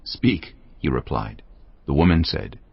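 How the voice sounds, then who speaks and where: A man narrating scripture as a solemn, measured storyteller in a dramatized audio production. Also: rumbling low, lively intonation, creaky, husky, no room sound, dull audio.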